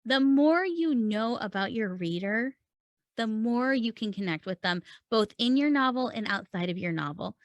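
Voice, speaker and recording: A woman's voice: on the high side, lively intonation, normal speed, no echo, dull audio. A woman in her thirties hosting a podcast, giving earnest, encouraging advice to writers and asking many rhetorical questions. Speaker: writing coach and podcast host. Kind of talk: solo teaching talk to camera.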